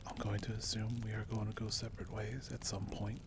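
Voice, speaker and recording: A man voicing a brave but wary barbarian recruiter in a dark fantasy ASMR roleplay, speaking in a hushed, halting way. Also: on the low side, level tone, background noise, close to an everyday voice. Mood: cautioning, gruff. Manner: slow, hushed, halting delivery with pauses. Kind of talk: ASMR roleplay, whispered and softly spoken.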